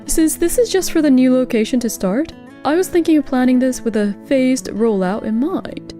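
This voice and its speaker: A woman's voice: high voice, moderate pace, mellow, soft background music, close to an everyday voice. A woman playing a thoughtful, diligent young new employee in an anime-style manga dub. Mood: earnest.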